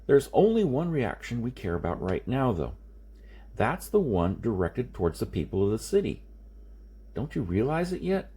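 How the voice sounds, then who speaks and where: A man's voice: mid-range voice, sing-song, moderate pace, dark tone, slightly rough. A man voicing an insistent, reasoning science fiction hero who lectures emphatically in audiobook dialogue. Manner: emphatic, explanatory.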